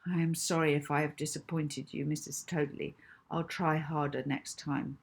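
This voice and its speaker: A woman's voice: low voice, level tone, moderate pace, gravelly, husky, full character voice. A woman voicing a stubborn, reluctant schoolgirl in a children's story, protesting in a sulky, defensive way. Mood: flat apology. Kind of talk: children's audiobook character voice.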